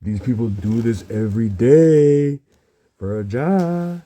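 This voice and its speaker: A man talking in a halting, rambling monologue, murmuring and pausing with frequent low hums. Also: mellow.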